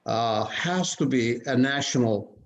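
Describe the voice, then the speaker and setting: A man from Ethiopia speaking on a video-call panel, measured and halting with frequent restarts. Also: mid-range voice. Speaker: conference panelist. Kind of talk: casual panel discussion. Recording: dull lo-fi call audio.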